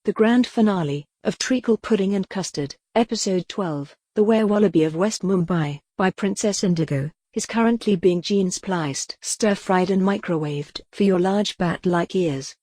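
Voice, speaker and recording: A synthetic female text-to-speech voice playing a calm, wry retro computer who thinks she's a cat, deadpan in an audio comedy drama. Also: medium pitch, normal speed, hardly any pauses, dry recording, natural voice. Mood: dry, whimsical.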